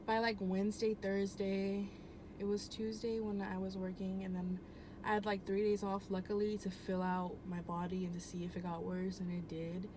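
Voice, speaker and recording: A woman's voice: mid-range voice, little pitch movement, moderate pace. A young woman telling a personal story at home in a casual, rambling way, full of "um" and "like" and easy swearing. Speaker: vlogger. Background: air conditioner hum.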